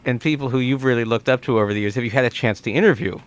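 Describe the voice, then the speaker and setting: Man, interviewer, medium-pitched voice, casual conversational questions and short responses. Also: very fast. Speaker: radio interviewer.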